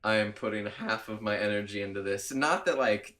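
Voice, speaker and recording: A man's voice: fairly low pitch, brisk, sharp tone. Chatty man with a cold. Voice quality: nasal. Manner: chatty, laughs often, rambling. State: has a cold. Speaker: podcast host. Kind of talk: casual chat.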